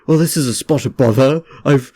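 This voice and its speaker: A man's voice: medium pitch, fairly quick, mellow, slightly rough, no echo, studio quality, voice pushed up, lightly acted. A man with a stuffy cold voicing a prim, polite, unflappable British girl, with a put-on British accent. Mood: unruffled.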